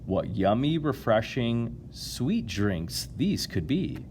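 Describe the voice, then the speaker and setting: A man reading a children's picture book aloud in an expressive, playful way, drawing out key words and chatting to his young listeners. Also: mid-range voice, slow, a little hiss or hum. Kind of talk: animated read-aloud to children, with asides to listeners.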